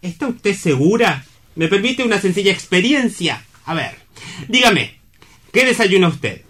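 Man acting courteous, high-voiced, didactic gentleman. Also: sing-song, measured pace, slightly rough, exaggerated voice. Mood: courteous, wry. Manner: formal, polite, didactic. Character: courteous, wry man calmly reasoning with a would-be suicide. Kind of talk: theatrical dialogue from a comic stage play.

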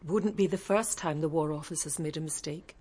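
An actress playing a dry, frank, level-headed woman with a husky voice in a radio drama. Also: sits low, a little fast, mellow. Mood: dry astonishment.

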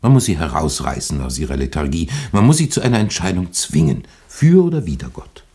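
An actor playing an earnest, zealous pastor, deliberate and preachy, in a German radio play. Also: male voice, very deep voice, sing-song, mellow, slightly rough, heavily acted. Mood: fervent, insistent.

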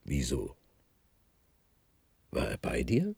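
A man's voice: very deep voice, huge pitch swings, slow, gravelly, darkish tone, husky, no room sound, heavily acted. An actor playing a curt, pressing man who probes for answers in a radio drama. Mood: probing. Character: pressing, curt man questioning an expert, offering sweets. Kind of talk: German radio drama acting.

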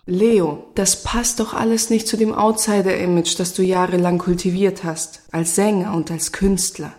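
An actress playing a betrayed, bitter female commune dropout in a radio play, speaking in a reflective, wry, measured way. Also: lowish voice, steady tone, darkish tone, natural voice. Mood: reproachful. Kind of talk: radio play dialogue and monologue.

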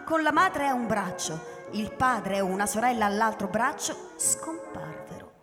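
High-voiced woman narrator in a hall. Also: lively intonation, unhurried. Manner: theatrical, swelling and hushing with the scene. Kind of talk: dramatic scripted narration of a radio play. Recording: reverberant hall, faint music behind.

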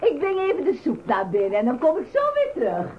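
An actress playing a chatty, flattering, slyly friendly innkeeper in a Dutch radio play. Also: female voice, high voice, fast talker. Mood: chatty, amused. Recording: muffled, slightly noisy radio recording.

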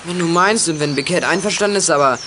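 An actor playing a shifty, menacing teenage drug courier in a youth radio play, talking in curt slang. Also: male voice, on the high side, fast talker, close to an everyday voice. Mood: menacing, wary. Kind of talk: 1980s German youth radio play acting. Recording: noisy old radio play recording.

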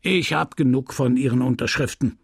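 A man's voice: mid-range voice, moderate pace, creaky, husky, dry recording, studio quality. A man voicing a sly, merciless merchant and moneylender in an audiobook novel, with a sarcastic, smug, lecturing manner. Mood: scornful. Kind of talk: dramatised audiobook reading of a literary novel.

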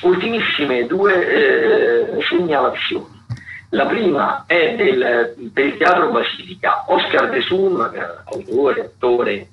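A man's voice: mid-range voice, big pitch swings, slow, gravelly. A man presenting theatre listings on radio in a semi-improvised, stop-and-start way, often repeating words. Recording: muffled, noisy community radio broadcast.

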